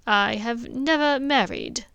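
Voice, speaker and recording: A woman reading the part of an aged male wizard headmaster, speaking slowly, softly and gravely. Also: on the high side, dry recording, highs cut off. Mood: gentle, grave. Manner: slow, soft and measured.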